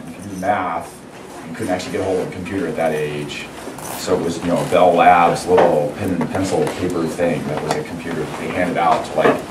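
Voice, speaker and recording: A middle-aged man with a cold and a hoarse voice, giving a rambling, conversational university lecture with many restarts. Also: sits low. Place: large lecture hall. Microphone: far room mic. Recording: echoey lecture hall from far off, noisy.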